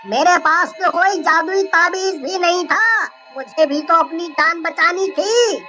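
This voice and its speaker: A woman acts out an excitable, cowardly cartoon village man. The voice is very high and piercing, quick to whine and protest. Music plays faintly behind. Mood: defensive. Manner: shrill, panicky, protesting. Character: cowardly, excitable male villager, whining in self-defence. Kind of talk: cartoon story acting.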